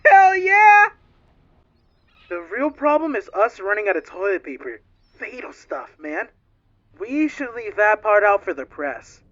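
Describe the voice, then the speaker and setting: A woman's voice: medium pitch, big pitch swings, unhurried, a bit bright, dull audio. A woman playing an upbeat, naive, slangy young male crew rookie in a fan-dubbed space game. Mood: excited, then laid-back. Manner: breezy, slangy, boyish. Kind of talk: dramatic fan dub of a video game.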